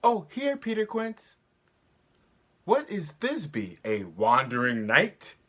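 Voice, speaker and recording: A timid young tradesman played by a man in stage Shakespeare, reluctant and hesitant. His voice sits high and moves around a lot. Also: huge pitch swings, slow, bright, forceful tone, heavily acted. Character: reluctant, timid young bellows mender cast as a lady. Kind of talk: theatrical Shakespeare staged reading.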